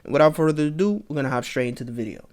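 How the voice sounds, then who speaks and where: A laid-back man with a fairly high voice, chatting through a reaction video. He talks straight to the viewer in a loose, casual way and likes to repeat his points. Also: expressive, rapid-fire. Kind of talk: casual commentary.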